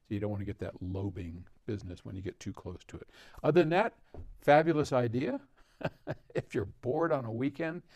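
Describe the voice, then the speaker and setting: A man's voice: fairly low pitch, dark tone, no echo. Chatty older man in a dry listening room. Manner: chatty, laughs often, rambles with asides. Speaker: host answering viewer questions. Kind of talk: casual talk to camera. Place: large listening room with speakers.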